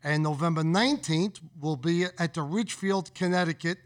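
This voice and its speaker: Man in his sixties reading a list. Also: a little high. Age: 60s. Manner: reading a list, a bit halting. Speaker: studio guest.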